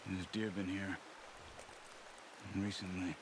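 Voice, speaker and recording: A man playing a calm, stoic outlaw hunter in a video game, speaking tersely. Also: low-pitched, takes it slowly, background noise. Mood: hushed focus. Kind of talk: video game cutscene acting. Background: music.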